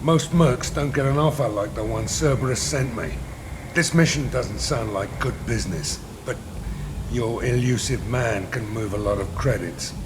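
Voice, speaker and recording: An actor playing a gruff, cynical older mercenary in a video game, with a rough voice and blunt, menacing delivery. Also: male voice, medium pitch, normal speed, background noise, natural voice. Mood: cynical, businesslike. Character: gruff, hard-bitten older male mercenary, cynical and ruthless.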